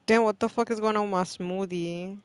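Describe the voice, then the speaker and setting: A woman's voice: medium pitch, fairly even intonation, fairly quick, a little hiss or hum. A woman from Puerto Rico with a New York accent, a streamer chatting casually with friends while gaming, brash, swearing and teasing.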